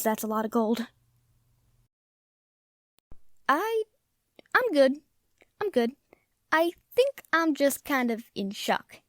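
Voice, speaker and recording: A woman's voice: high-pitched, no room sound, studio quality. A woman playing a brash, brave, wry treasure hunter in an audio roleplay, bantering informally with the listener. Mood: awed, stunned. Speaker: voice actor.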